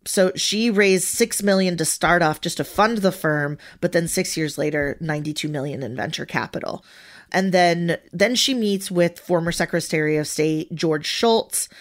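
A woman co-hosting a podcast, explaining facts in a steady run in casual chat. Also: on the low side, moderate pace. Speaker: podcast co-host.

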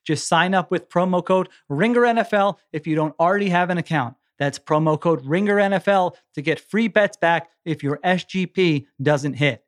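A man hosting a sports podcast, talking casually and reading out copy. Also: on the high side. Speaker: podcast host. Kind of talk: casual sports talk and host-read ads.

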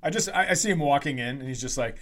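A man co-hosts a comedy podcast with a very lively, swinging intonation. He riffs casually, dropping in jokes and acting out imagined lines. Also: mid-range voice, quick pace. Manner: joking interjections, acts out imagined quotes. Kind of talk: casual comic riffing.

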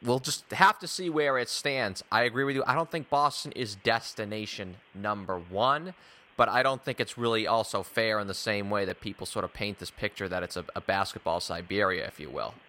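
A man hosting a sports talk show, giving opinion in an emphatic, wordy, deliberate way. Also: lowish voice, sharp tone. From Newton, Massachusetts, United States. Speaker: sports podcast host.

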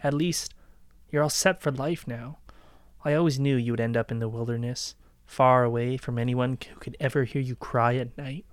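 An actor in a dramatic reading playing Josh, an obsessive, vengeful young man who speaks with accusing, quiet menace. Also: mid-range voice, steady tone, moderate pace, no echo, clean studio sound, lightly acted. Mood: quietly menacing. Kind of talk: dramatic reading of a dark story.

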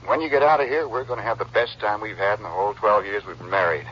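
An actor playing an outwardly devoted, anxious rancher husband, folksy and solicitous, in a 1950s radio western. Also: male voice, fairly low pitch, quick pace, breathy edge. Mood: coaxing, soothing. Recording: muffled, noisy 1950s radio broadcast.

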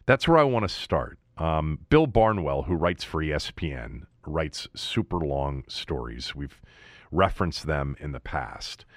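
A man's voice: rumbling low, animated, unhurried, dry recording, studio quality. A man hosting a sports talk show, speaking in an emphatic way and stretching out key words. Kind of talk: sports commentary with ad read.

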